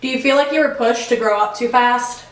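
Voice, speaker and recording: A woman's voice: fairly high pitch, brisk. Earnest young woman in an echoey room. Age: young adult. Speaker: interviewer and documentary narrator. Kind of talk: scripted narration and interview questions.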